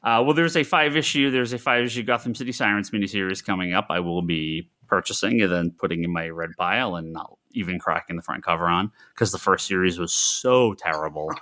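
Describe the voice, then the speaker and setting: Emphatic man with a bright, forceful voice. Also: sits low, a little fast, hardly any pauses. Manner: exasperated, emphatic, repetitive ranting with profanity. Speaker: podcast co-host. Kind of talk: casual comics podcast banter.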